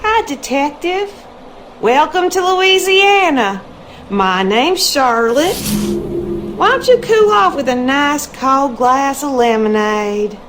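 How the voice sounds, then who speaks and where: An actress playing a hospitable, sardonic long-suffering wife in a video game, with a genteel Southern American drawl. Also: female voice, high voice, expressive, slow pace, a bit bright, a little hiss or hum, full character voice. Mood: welcoming. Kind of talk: game character dialogue.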